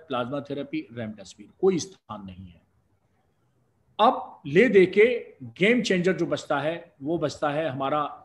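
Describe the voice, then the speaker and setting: A middle-aged man from India, a doctor and professor of respiratory medicine, explaining medical advice as a guest in a live discussion with emphatic, argumentative exclamations. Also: on the high side.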